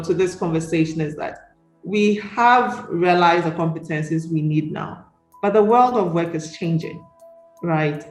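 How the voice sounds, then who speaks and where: A woman moderating a panel discussion, reflective and questioning, often checking in with the listeners for agreement. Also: lowish voice. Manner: reflective, questioning, seeking agreement with tags like "right?". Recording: roomy echo.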